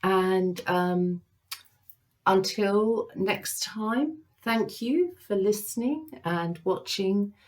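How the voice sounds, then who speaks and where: A woman working as a psychic medium, giving a tarot reading to viewers in a gentle, reassuring way, pausing often with ums. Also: medium pitch. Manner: slow, halting, with many ums and pauses.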